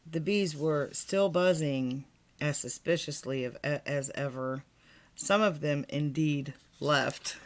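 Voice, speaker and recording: A woman reading a children's storybook aloud as storyteller, expressively, with asides to young listeners. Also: sits low.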